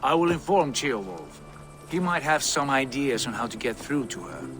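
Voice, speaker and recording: An actor playing a coolly menacing Viking lord in a video game, speaking in a theatrical, deliberate, sardonic way. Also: male voice, mid-range voice, expressive, fairly bright tone, soft background music, natural voice. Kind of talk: dramatic video game acting.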